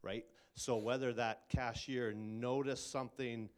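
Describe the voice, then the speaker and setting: A man's voice: mid-range voice, little pitch movement, a little slow. A man co-hosting a casual faith talk, speaking thoughtfully and searching for his words.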